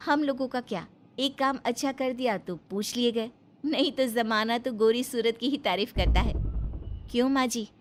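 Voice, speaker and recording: A woman voicing a polite, gentle young daughter-in-law in a Hindi moral story. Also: on the high side, normal speed, slight background noise, close to an everyday voice. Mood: wry.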